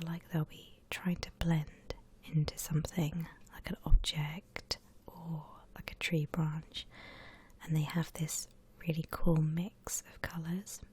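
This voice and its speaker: A woman whispering gently and breathily as she guides listeners through a drawing, in the style of an ASMR tutorial. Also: sits low. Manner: gentle, unhurried step-by-step explaining. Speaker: host of an art ASMR channel.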